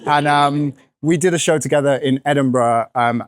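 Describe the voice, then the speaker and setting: A man with a croaky voice, the author and podcast co-host being interviewed at a bookshop event, answering in a chatty way with lots of fillers. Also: a little high, moderate pace, no echo, clean studio sound. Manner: chatty, with many fillers and hedges. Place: bookshop event, in front of an audience.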